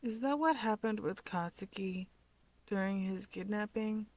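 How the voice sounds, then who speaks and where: A woman voicing a sleepy, blunt teenage boy in a story reading, slurring and mumbling in a deadpan way. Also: medium pitch, a little slow, voice pushed up, low-quality recording. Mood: deadpan, needling.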